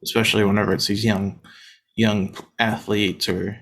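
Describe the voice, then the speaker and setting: Casting note: man, thirties, sports podcast co-host, slightly low voice, under the weather, easygoing chat full of "uh"s. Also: moderate pace. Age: 30s. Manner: relaxed, frequent "uh" and "um" fillers. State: under the weather, voice deeper than usual.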